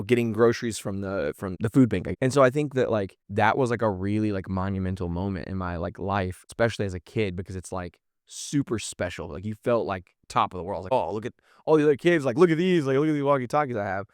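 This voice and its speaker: A man's voice: fairly low pitch, fast, a little mellow, dry recording, clean studio sound. A man in his thirties, a podcast guest telling stories casually and informally, with "like" peppered through his talk. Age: 30s. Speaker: podcast guest, marketing business owner. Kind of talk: casual conversational storytelling.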